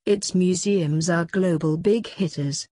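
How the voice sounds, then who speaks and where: A woman giving a measured, formal read-aloud of a scripted practice text. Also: lowish voice, warm tone, no room sound. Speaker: exam sample reader.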